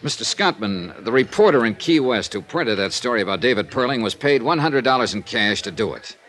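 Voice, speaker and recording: A man acting as a hard-nosed American investigator from a 1950s radio drama. His delivery is clipped and wry, with lively swings in pitch as he reports like a man filing his expenses. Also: brisk, slight background noise, natural voice. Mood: businesslike. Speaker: lead actor and narrator of a radio detective series. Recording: vintage 1950s radio recording.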